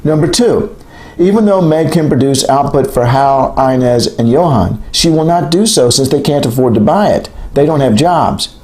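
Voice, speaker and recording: A man reading a textbook aloud as scripted narration, in a measured, clearly enunciated lecturing way. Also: mid-range voice, expressive, darkish tone. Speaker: author reading an audiobook chapter.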